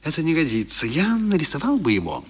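An actor playing a droll, good-humoured companion who muses aloud in a leisurely way in a 1970s radio drama. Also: male voice, on the high side, huge pitch swings, quick pace, slight background noise. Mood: amused, musing.

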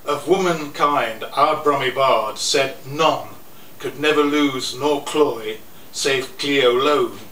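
An older man giving a slow, theatrical reading of his own poems at a live cafe event, chuckling between pieces, heard through a stand mic set off to the side. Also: medium pitch, fairly bright tone. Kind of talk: live poetry reading with asides. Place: small cafe room with an audience. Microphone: stand mic a little off to the side. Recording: roomy, distant camera audio with faint noise.

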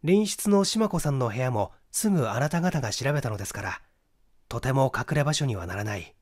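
A man reads as an assured young amateur detective in a mystery audiobook. The voice sits at medium pitch, explaining deliberately and with emphasis. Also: expressive, natural voice. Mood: deliberate reasoning.

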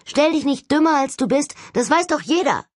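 A blunt young heroine from an adventure novel , played by a woman narrator. She is impatient and snappy, with exasperated rises in pitch. The sound is very dry. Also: animated, moderate pace, no room sound. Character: blunt, impatient young female ghost-hunting agent.

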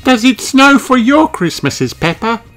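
A bouncy young kangaroo girl, acted out by a male narrator, breezy and wide-eyed. The voice sits high and takes its time, swinging up and down in friendly storybook style. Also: sing-song, unhurried, fairly bright tone, soft background music, heavily acted. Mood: curious. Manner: breezy, childlike.

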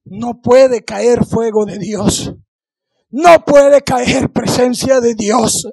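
A middle-aged man delivers a fervent sermon in a very high, gravelly, strained voice. He exhorts his listeners, repeating phrases for emphasis and throwing out questions. The sound is dry, from a handheld microphone held close. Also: extremely slow, a little mellow, no echo. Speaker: preacher. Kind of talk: impassioned Pentecostal-style sermon. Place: indoor church hall, on the platform.

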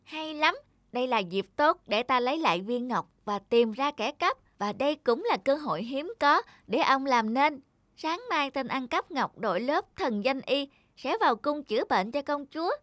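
A woman's voice: high voice, lively intonation, moderate pace, fairly bright tone, no room sound, studio quality, voice pushed up. A woman voicing a wise, shrewd little toad spirit who gives instructions and admonishes in a cartoon folk tale. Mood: scheming, eager.

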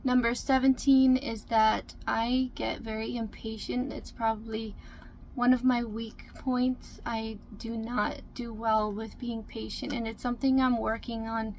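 A woman in her twenties talking casually to camera in a small camper, soft-spoken and chatty, with many ums as she reads from notes. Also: a little high, little pitch movement, a little slow, a little hiss or hum, low-quality recording. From Canada. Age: 20s. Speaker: vlogger. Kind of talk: casual get-to-know-me talk to camera. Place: small room inside a camper. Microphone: camera in front at close range.

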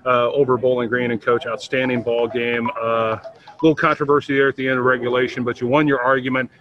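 A man who is the team's broadcaster, asking a coach postgame questions in a smooth, stat-filled delivery. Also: mid-range voice, level tone, fast talker, dull audio, noisy background. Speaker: team broadcaster interviewer.

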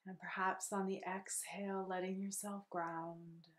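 A woman leading a guided meditation in a soothing, unhurried, gently instructive way. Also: medium pitch, level tone.

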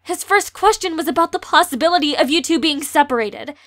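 A woman's voice: high voice, animated, fairly quick, fairly bright tone. A young woman playing an outspoken, sarcastic teenage girl in an amateur audio drama. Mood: reproachful.

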